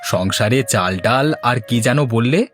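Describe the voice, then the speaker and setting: A man's voice: mid-range voice, normal speed, faint music behind, lightly acted. A man playing a good-natured, easygoing village fisherman and husband in a Bengali moral-story cartoon. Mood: easygoing, friendly.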